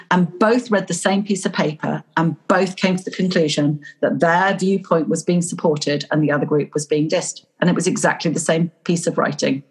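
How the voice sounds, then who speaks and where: A woman from Yorkshire, a coach and guest, talking in an animated storytelling way in a casual interview. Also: on the low side, slightly rough, rough recording. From Yorkshire, England.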